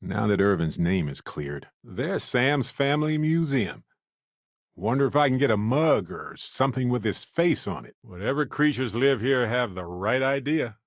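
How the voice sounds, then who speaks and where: An earnest space explorer companion from a video game. He talks in an expressive, conversational way, with a lot of rise and fall in a mid-pitched voice. The sound is dry and close, and his delivery is reflective with a wry edge. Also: normal speed, dry recording. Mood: easygoing.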